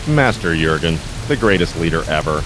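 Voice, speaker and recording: A solemn, loyal agent turned doorman. The delivery is formal and deadpan, cartoon style, with music and rain behind. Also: deep voice, normal speed, poor audio. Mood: deferential. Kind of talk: animated comedy game voice acting.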